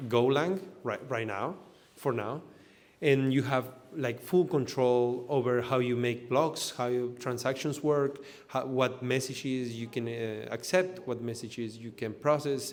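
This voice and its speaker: A man from Argentina giving a technical conference talk as an engineer, explaining loosely with lots of fillers and restarts. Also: medium pitch. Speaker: conference speaker, blockchain engineer. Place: event hall in front of an audience. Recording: echoey hall.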